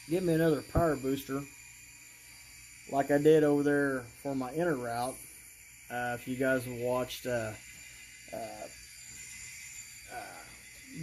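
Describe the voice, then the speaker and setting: A man casually presenting a hands-on hobby demonstration, talking haltingly and thinking aloud as he works. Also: a little high, darkish tone, slight background noise, rough recording.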